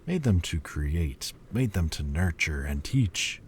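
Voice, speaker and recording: A man voicing an ancient male demon lord, quietly confessing in a slow, halting way in an audio roleplay. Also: low voice, sing-song, a little hiss or hum, full character voice. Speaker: voice actor.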